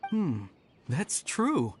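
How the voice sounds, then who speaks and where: A woman's voice: on the low side, wildly swooping pitch, drawn-out words, slight background noise, full character voice. A woman voicing an earnest, anxious and deferential young servant in an anime-style fantasy game, speaking breathlessly and expressively.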